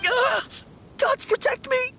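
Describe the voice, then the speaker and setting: A man's voice: extremely high pitch, fairly even intonation, takes it slowly, vocal fry, background noise, exaggerated voice. A man playing a timid, easily frightened young apprentice undertaker in a video game, jittery, hesitant and pleading. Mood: terrified. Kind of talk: animated game character acting. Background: faint music.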